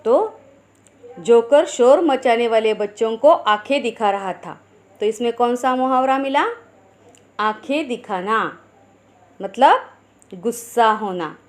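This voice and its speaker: A woman teaching a Hindi lesson to schoolchildren, slowly explaining idioms and sayings, asking questions and then answering them herself. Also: fairly high pitch, expressive.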